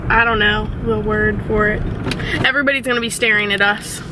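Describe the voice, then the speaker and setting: A woman's voice: mid-range voice, fairly even intonation, brisk. A young woman vlogging in a casual, chatty, giggly way, filming on a handheld camera inside a car. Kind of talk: casual chat. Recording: loud road noise in a car. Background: car and traffic noise.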